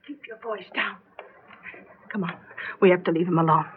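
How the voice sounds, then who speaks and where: A woman plays a worried middle-aged wife, soothing and firm with her husband. She pleads calmly, then sets limits. The sound is dull and lo-fi, like an old radio drama. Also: on the low side, fast, background noise, close to an everyday voice. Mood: pleading. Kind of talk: 1970s radio drama acting.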